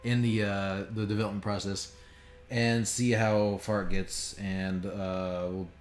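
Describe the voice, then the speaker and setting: A man's voice: lowish voice, level tone, unhurried, soft background music. A man giving casual, joking and sometimes profane commentary as a game streamer. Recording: roomy echo with faint background noise.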